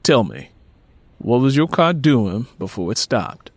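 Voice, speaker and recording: A man's voice: mid-range voice, very animated, measured pace, no echo. A man voicing a streetwise, sly handyman in an audiobook, casual, slangy and persuasive. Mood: matter-of-fact. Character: streetwise, sly male handyman sizing up a deal.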